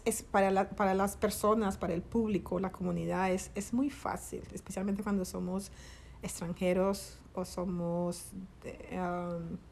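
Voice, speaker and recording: Colombian woman with a gravelly voice. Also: mid-range voice, darkish tone, slight background noise. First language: Spanish. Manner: halting, searching for words, restarts. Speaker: interviewee, grieving mother. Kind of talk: conversational interview.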